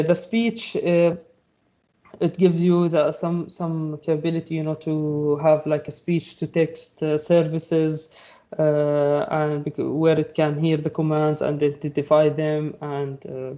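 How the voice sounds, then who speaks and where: A man whose first language is Arabic, giving a technical talk in a halting way, with many fillers and restarts. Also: on the high side, little pitch movement, warm tone, highs cut off. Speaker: presenter.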